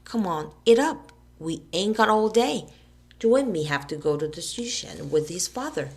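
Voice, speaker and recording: A woman's voice: medium pitch, expressive, close to an everyday voice. A woman voicing a pushy, scheming boy who urges others impatiently. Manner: bossy, hurried urging.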